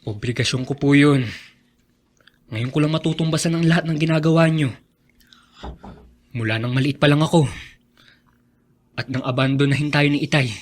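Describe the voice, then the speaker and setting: An actor playing a devoted, determined young son in a Filipino radio drama, speaking earnestly and respectfully. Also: male voice, a little high, moderate pace, pauses often, natural voice. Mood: earnest, resolute. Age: young adult.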